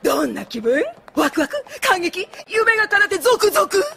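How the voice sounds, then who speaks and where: A woman's voice: very high voice, big pitch swings, normal speed, creaky, background noise, exaggerated voice. A woman voicing a flamboyant, bossy fashion photographer pony in a dubbed cartoon, showy and using oddly broken grammar. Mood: showy, excited.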